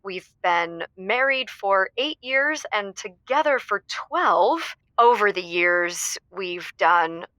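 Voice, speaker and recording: A woman's voice: mid-range voice, lively intonation, slow, few pauses, sharp tone, no room sound. A woman playing a playful, mischievous wife telling stories as a cheerful caller on a comedy radio show. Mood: gleeful, mischievous. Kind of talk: improvised comedy storytelling.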